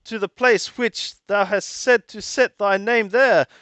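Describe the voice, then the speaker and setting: A man reading scripture aloud and translating it phrase by phrase in a deliberate, halting manner, often repeating and rephrasing. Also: high-pitched, fairly even intonation, a bit bright, smooth, dry recording.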